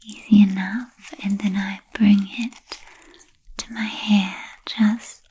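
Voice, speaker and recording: Woman as an ancient vampire, breathy slow whisper. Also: medium pitch, little pitch movement, mellow, rough recording. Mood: teasing, musing. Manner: slow, deliberate, archly formal with trailing pauses. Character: ancient refined female vampire, playfully macabre and unhurried. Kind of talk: whispered spooky roleplay ASMR.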